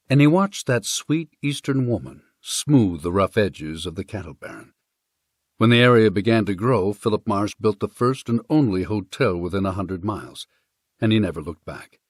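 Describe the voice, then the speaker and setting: Deep, slightly husky male audiobook narrator. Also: no echo, clean studio sound. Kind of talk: scripted narration of a historical western story.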